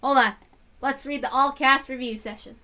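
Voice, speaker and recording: A woman's voice: high voice, fairly even intonation, normal speed, bright, forceful tone. A woman reading a visual novel aloud and chatting casually between parts. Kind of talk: casual read-aloud narration.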